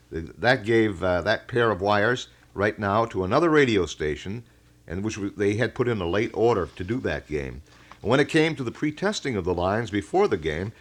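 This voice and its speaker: A man hosting a local radio talk show, speaking in wordy, measured and detailed explanations. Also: medium pitch. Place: radio studio.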